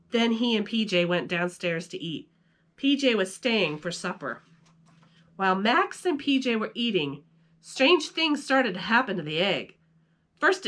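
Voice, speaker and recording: A woman's voice: mid-range voice, a bit bright. A woman hosting with a playful, chatty manner, moving between casual talk and children's story reading. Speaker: video host and storyteller. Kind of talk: casual vlog chat and children's story read-aloud.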